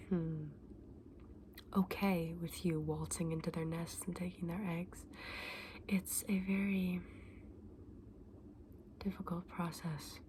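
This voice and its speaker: Casting: actress as kindly, quirky female dragon-egg seller for ASMR roleplay; hushed breathy whisper, slightly low, polite old-fashioned wording, noticeable background noise. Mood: proud, wistful. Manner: gentle, polite, quaint old-fashioned phrasing. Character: kindly, eccentric fantasy shopkeeper woman selling dragon eggs. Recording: clearly audible background noise.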